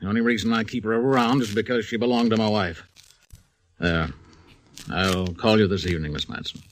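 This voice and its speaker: A man's voice: lowish voice, steady tone, a little fast, background noise, natural voice. An actor playing a suave airport owner who snaps irritably at his parrot, in a 1940s radio drama. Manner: suave, then snapping irritably.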